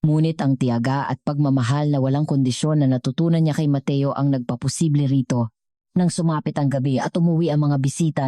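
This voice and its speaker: Female story narrator, Filipino accent. Low, relaxed voice, earnest measured read, dry sound. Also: no echo.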